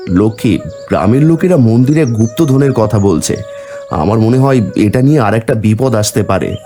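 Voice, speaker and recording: A man's voice: lowish voice, expressive, moderate pace, mellow, soft background music, close to an everyday voice. A man voicing an honest, humble village man in a cartoon fairy tale, speaking earnestly. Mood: worried.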